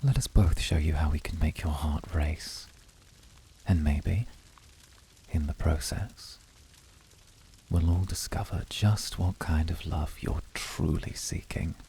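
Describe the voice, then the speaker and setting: A man voicing a composed, poetic, devoted incubus in a flirty ASMR roleplay, speaking formally in a measured, seductive, often whispery way with a raspy, breathy voice. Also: very deep voice, dark tone, a little hiss or hum, full character voice. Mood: seductive, tender. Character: composed, poetic, devoted male incubus of calm, icy temper.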